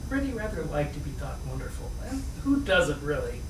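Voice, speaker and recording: Guy reading a storybook out loud, playful n chatty, echoey room, bit far from mic. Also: a little high, brisk. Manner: expressive, playful. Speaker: reader at a live book reading. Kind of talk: storybook narration with chatty asides. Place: indoor room at a live reading. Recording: echoey room, distant mic, faint noise.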